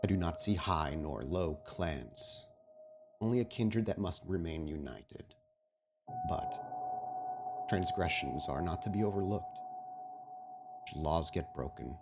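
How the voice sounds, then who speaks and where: A man voicing a patient, menacing vampire prince in a tabletop game, speaking slowly and with measured authority. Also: deep voice, lively intonation, heavily acted. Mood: stern, measured. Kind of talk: in-character tabletop roleplay speech. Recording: music behind the voice.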